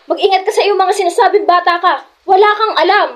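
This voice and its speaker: A woman's voice: very high voice, fairly even intonation, fast, background noise. A woman playing a stern, scolding mother of a teenage daughter in an amateur radio drama. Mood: stern, angry.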